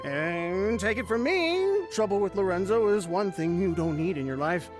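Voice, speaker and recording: A man's voice: sits high, lively intonation. An actor playing a tipsy, rueful, nervous man who slurs, halts and trails off in a video game. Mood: uneasy warning. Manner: halting, mumbling, trailing off. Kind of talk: English video game voice acting. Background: background music.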